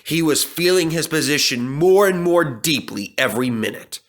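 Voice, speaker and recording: A man's voice: a little high, animated, normal speed, a bit bright. A man narrating a children's storybook as a scripted read-aloud.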